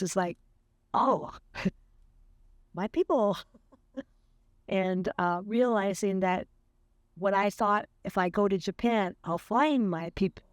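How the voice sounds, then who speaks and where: Older woman, slow reflective speech. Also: medium pitch, no echo, clean studio sound. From California. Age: 70s. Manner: slow, earnest, with fillers and light laughs. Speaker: interviewee. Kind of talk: reflective interview.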